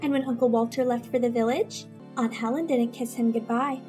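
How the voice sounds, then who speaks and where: Woman acting as high voiced bitter teen girl, old radio melodrama style, music playing under her. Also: steady tone, fairly quick, warm tone, lightly acted. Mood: scheming narration. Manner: melodramatic, resentful. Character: bitter, scheming teenage girl feigning sweetness. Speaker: narrating lead in a radio melodrama.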